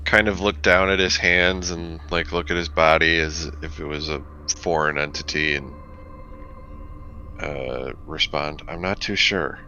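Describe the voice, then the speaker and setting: A man with a very low voice takes his turn in a tabletop roleplaying game. He speaks slowly and tentatively, thinking as he describes his character's moves, on a dull, slightly noisy mic. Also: flat delivery, pushed and bright. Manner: halting, thoughtful, tentative. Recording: dull microphone with faint background noise.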